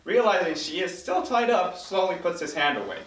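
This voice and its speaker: A man's voice: high voice, animated, a little fast, a bit bright. A man reading stage directions as scripted narration at a table read. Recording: roomy, distant mic.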